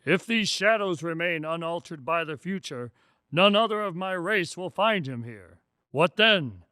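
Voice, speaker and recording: Stern radio-drama spirit, male actor: grave, reproachful, forceful tone, slightly raised pitch. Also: animated, unhurried, clean tone, dry recording, clean studio sound, exaggerated voice. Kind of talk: stage-style radio drama acting.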